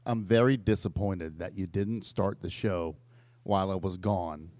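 A man's voice: sits low, unhurried, darkish tone, no room sound. A man co-hosting a podcast with crude, tongue-in-cheek banter in a casual style. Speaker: podcast co-host.

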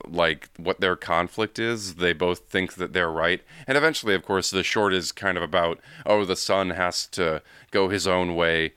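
A man co-hosting a podcast, explaining films in a casual, measured way with asides. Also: low-pitched, bright, forceful tone, smooth, no echo, studio quality. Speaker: podcast co-host. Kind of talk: casual film discussion.

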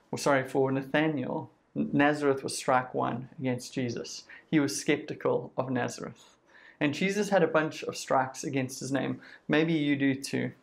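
A man's voice: mid-range voice, vocal fry. A man with a South African accent, giving a sermon in a conversational way and acting out what people say. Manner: conversational, uses quoted voices and lists. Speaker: preacher.

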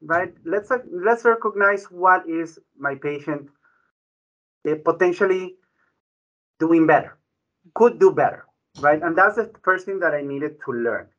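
Male doctor, forceful slightly high voice, muffled lo-fi sound, keeps adding "right?". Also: animated, a little slow, pauses often. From Ecuador. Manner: frequent "right?" tag questions, restarts. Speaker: physician guest. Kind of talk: conversational explanation. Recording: dull lo-fi audio.

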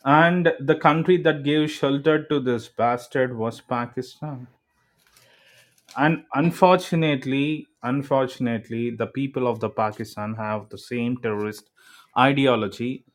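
A man speaks in a mid-range voice. He lays out his views with formal phrasing and deliberate emphasis, as a panelist in a discussion. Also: mid-range voice. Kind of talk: opinionated discussion.

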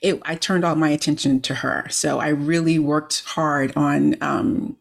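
Woman in her forties, lowish voice, reflective, halting, frequent restarts. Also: steady tone, vocal fry. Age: 40s. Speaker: interview guest, coach. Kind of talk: conversational interview storytelling.

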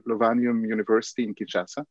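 A man's voice: on the low side, flat delivery, quick pace, no room sound. A man from Belgium answering interview questions about his upbringing, hesitant with frequent fillers. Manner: hesitant, many fillers and restarts. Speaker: guest. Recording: dull lo-fi dry sound.

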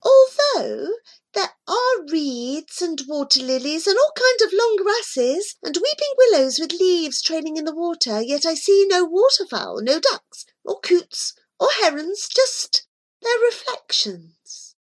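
A woman voicing an anxious small female animal guide with a high, breathless, urgent voice. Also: sing-song, unhurried, full character voice. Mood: wondering.

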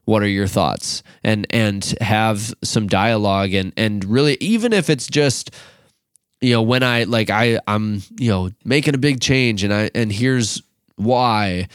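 A man's voice: on the low side, measured pace, clean tone, no room sound, studio quality. A man in his late twenties, a youth pastor co-hosting a podcast, talking casually with many fillers and restarts. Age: 20s.

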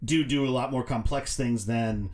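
A man co-hosting a podcast, discussing films casually in a measured, explanatory way. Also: medium pitch, darkish tone. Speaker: podcast co-host.

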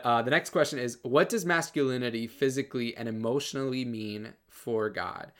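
Earnest soft-voiced man giving testimony. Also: mid-range voice. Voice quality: soft, somewhat feminine-sounding voice. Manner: earnest, lots of fillers and repeated starts. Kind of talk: casual personal testimony.

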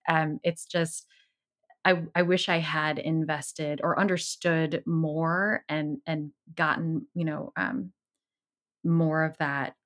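A middle-aged woman hosting a podcast, speaking in a thoughtful, earnest, measured way. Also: low-pitched, little pitch movement, a bit bright. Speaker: podcast host. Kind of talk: casual interview chat.

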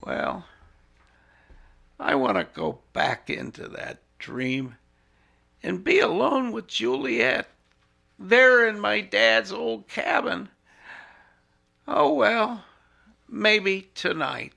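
A man's voice: high voice, big pitch swings, very slow, vocal fry, pauses often, pushed and bright, exaggerated voice. An actor playing a flustered, meek, bumbling man who stammers and hesitates, in an audio drama. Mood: wistful.

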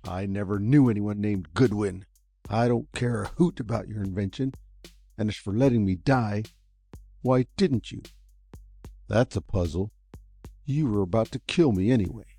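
A man voicing a blunt, tough young male adventurer who speaks gruffly and with exasperation. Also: on the low side, moderate pace, long pauses, warm tone, faint music behind. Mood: exasperated.

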